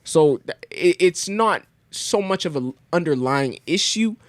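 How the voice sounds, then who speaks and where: Young man musing haltingly, dry sound. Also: fairly high pitch, lively intonation, no room sound. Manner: thoughtful, halting, many restarts. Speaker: podcast guest. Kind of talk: casual conversation.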